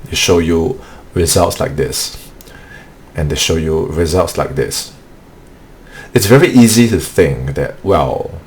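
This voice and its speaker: A low-voiced man leads a motivational live-stream training for remote sales closers. He talks earnestly and repeats himself, dropping "okay" between points. A faint hum sits under the voice. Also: slow, long pauses. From Singapore. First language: not English. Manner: earnest, repetitive, peppers speech with "okay".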